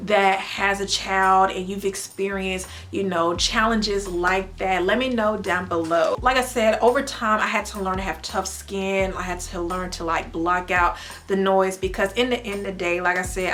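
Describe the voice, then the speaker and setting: A young woman from the United States talking casually to her viewers as a mother, earnest and faith-filled, with playful animated bursts. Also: mid-range voice, a little hiss or hum. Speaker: vlogger and mom. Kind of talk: casual talk to camera.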